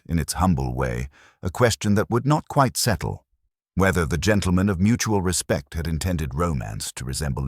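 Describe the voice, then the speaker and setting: A man reading scripted mystery fiction as narrator, in a measured, wry, literary manner. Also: very deep voice, slightly rough, no room sound, clean studio sound.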